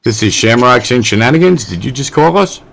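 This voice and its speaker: A man's voice: mid-range voice, expressive, a little fast, gravelly, fairly bright tone, slight background noise. A man hosting a call-in radio show, asking the caller direct questions. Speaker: radio host.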